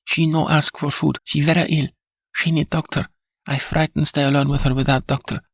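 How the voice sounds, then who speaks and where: A man's voice: a little high, flat delivery, brisk, vocal fry, no room sound, studio quality, pitched above the performer's own voice. A man voicing a frightened, fiercely loyal young foreign maid who speaks in broken English. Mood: indignant. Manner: broken English, indignant and insistent.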